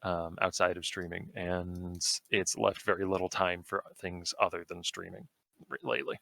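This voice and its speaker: A young man with a low-set voice chats easily as a podcast guest, wandering through his thoughts with plenty of ums and likes. The sound is close and dry, with no room around it. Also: normal speed, creaky, no room sound. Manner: relaxed, rambling, full of fillers. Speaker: guest streamer. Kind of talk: casual podcast conversation.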